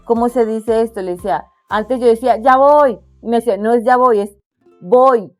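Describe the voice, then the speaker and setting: A woman's voice: fairly high pitch, normal speed, faint music behind. A young Wayuu woman from La Guajira, Colombia, giving an interview about her craft business. She speaks earnestly, with frequent restarts. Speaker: interviewee, artisan entrepreneur. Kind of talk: casual interview.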